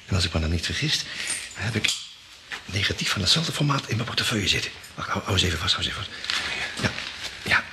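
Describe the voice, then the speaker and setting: A man acting in an old radio play, talking quietly and half-whispering while he searches in the dark. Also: low voice, lively intonation, fast talker, long pauses, heavy background noise. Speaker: radio drama actor.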